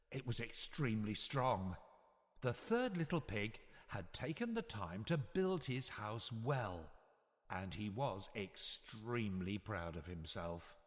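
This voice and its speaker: A man narrating a scripted bedtime story for children in an expressive, measured storytelling manner. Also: fairly low pitch, in a large hall.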